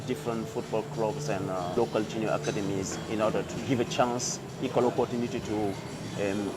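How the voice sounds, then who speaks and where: A man's voice: fairly low pitch, fairly even intonation, a little fast. A man who organizes youth football, speaking hesitantly with many fillers in an interview. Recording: interview with music underneath.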